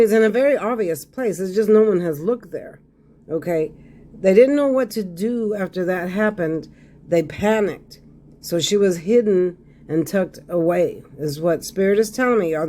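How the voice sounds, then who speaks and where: Hoarse high-voiced man reading tarot. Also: expressive, normal speed, a little mellow. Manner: repeats phrases, checks in with frequent "okay?". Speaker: tarot card reader. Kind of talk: casual tarot reading to viewers.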